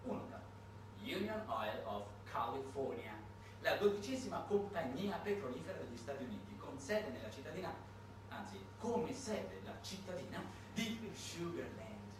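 A man's voice: on the high side, sing-song, moderate pace. Echoey, distant man performing a monologue. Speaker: stage monologuist. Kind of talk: satirical theatrical storytelling monologue. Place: roomy indoor performance space, far from mic. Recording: distant, echoey, noisy live theatre recording.

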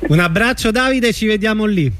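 Male radio interviewer, fairly high voice, informal and conversational. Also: fairly quick, a bit bright.

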